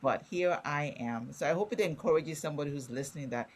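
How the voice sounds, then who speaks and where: A woman's voice: low voice, fast talker. A middle-aged woman from Nigeria with a Nigerian accent, speaking candidly as a guest author and explaining things in a conversational way. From Ibadan, Nigeria. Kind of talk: conversational interview.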